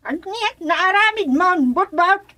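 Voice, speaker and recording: A woman's voice: very high voice, expressive, unhurried, sharp tone, no room sound, exaggerated voice. A woman playing a bossy, impatient, scheming aunt in a radio comedy drama, with a commanding, conspiratorial manner. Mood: impatient, scolding.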